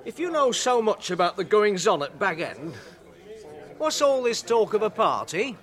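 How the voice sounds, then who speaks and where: A man's voice: high-pitched, sing-song, normal speed, a bit bright. A man playing a nosy, sceptical hobbit villager in a radio drama, with a rural English accent and a scoffing, grumbling manner. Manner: scoffing, pointed.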